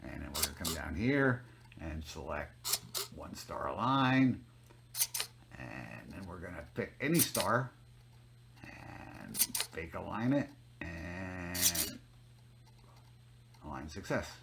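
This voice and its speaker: A man giving an informal technical demo as a hobbyist, narrating step by step in a plain-spoken way. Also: low-pitched. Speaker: hobbyist demonstrating a homemade telescope automation setup. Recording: noticeable background noise, homemade video.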